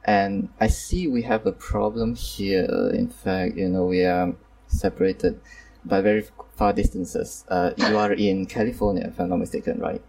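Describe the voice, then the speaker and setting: A man's voice: low-pitched, steady tone, normal speed, gravelly, darkish tone, far from the mic, rough recording. A man hosting a casual online group chat, talking hesitantly with many fillers and apologies. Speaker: host.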